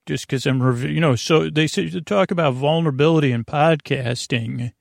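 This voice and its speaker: A man hosting a bedtime podcast with a creaky, gravelly voice, meandering and halting in a goofy, self-deprecating monologue that keeps going off topic. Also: a little high, no room sound, studio quality. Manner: meandering, halting, goes off topic mid-sentence. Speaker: podcast host.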